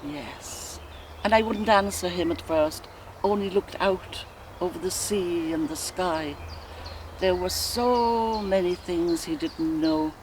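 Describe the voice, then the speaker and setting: An actress playing a sensual Irish woman lost in rapturous memory, in an expressive, musing theatrical soliloquy with an Irish accent. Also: fairly low pitch. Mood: tender reminiscence. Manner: musing, expressive, slow and deliberate with sighs. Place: outdoors in countryside woodland. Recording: faint outdoor ambient noise. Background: faint birdsong and nature ambience.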